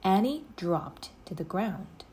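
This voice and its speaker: A woman narrates a children's storybook at a very slow pace. Her reading is expressive, with animated movement in a medium-pitched voice. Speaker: read-aloud storyteller.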